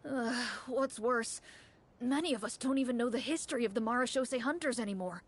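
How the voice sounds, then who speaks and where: Actress as an earnest, eager game townsperson, high voice. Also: female voice, brisk, natural voice. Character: earnest hopeful young townsperson seeking hunters. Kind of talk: game voice acting.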